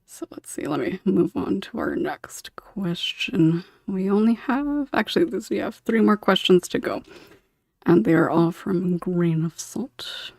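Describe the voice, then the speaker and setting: A woman's voice: medium pitch, expressive, measured pace, creaky, a little mellow, dry recording. A woman hosting a casual panel discussion, chatty and giggly. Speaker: podcast host.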